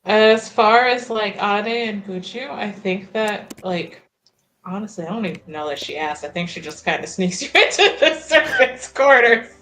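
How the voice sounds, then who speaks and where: A woman's voice: mid-range voice, animated, moderate pace, fairly bright tone. A woman playing a tabletop roleplaying game, speaking casually and tentatively as she improvises. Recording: roomy echo.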